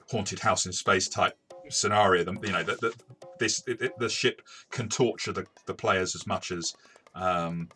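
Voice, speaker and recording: A man's voice: sits low, sharp tone. A man chatting through a tabletop game review as its game master, hesitant and often repeating words as he goes. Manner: hesitant, stop-start delivery with repeated words.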